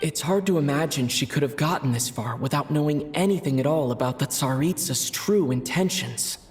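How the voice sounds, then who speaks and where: A man voicing a calm, thoughtful young male traveler in a game, musing to himself in a reflective inner monologue. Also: on the high side, normal speed, faint music behind, lightly acted. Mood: pensive. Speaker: player character. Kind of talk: game voice acting.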